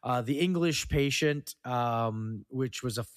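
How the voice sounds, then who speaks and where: A man hosting a casual film podcast, running through trivia in a halting way and stumbling over words now and then. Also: mid-range voice, no echo, clean studio sound. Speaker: podcast host. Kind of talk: casual film trivia rundown among co-hosts.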